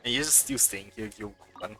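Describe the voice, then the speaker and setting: A man's voice: on the low side, moderate pace, bright, forceful tone, faint music behind. A man giving casual, playful live commentary as he streams a game, offhand in manner.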